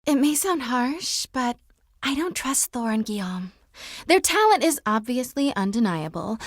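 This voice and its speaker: Anxious, high-voiced controlling girlfriend. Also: dry recording, clean studio sound. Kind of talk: dramatic game character dialogue.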